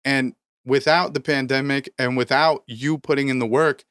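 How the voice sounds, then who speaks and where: Emphatic profane man, smooth and dry. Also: medium pitch, fairly even intonation, normal speed, fairly bright tone, no echo. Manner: emphatic, profane, preachy motivational rants. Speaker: podcast co-host, rapper. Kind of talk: casual podcast chat.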